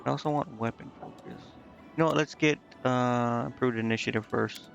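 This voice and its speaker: A man's voice: mid-range voice, steady tone, normal speed, gravelly, muffled recording. A man streaming a game, muttering indecisively to himself in casual commentary as he thinks aloud. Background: game music.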